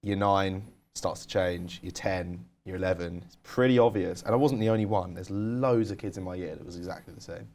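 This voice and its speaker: A man's voice: low voice, brisk, hardly any pauses, a little mellow. A young man co-hosting a podcast, telling candid stories in casual chat with lots of "like". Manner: candid, storytelling, uses "like" a lot. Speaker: podcast co-host.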